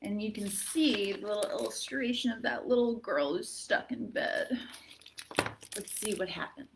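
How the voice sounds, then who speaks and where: A woman hosting a library storytime for children, expressive, putting questions to her young listeners as she narrates. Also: mid-range voice, measured pace, creaky, noisy background.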